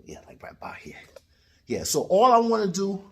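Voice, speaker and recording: A man in his late forties from New York, ranting in a loud, boastful, swear-filled casual vlog from his basement gym. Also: sits high, wildly swooping pitch, moderate pace, vocal fry. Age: 40s. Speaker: boxing vlogger. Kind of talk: casual profane vlog rant.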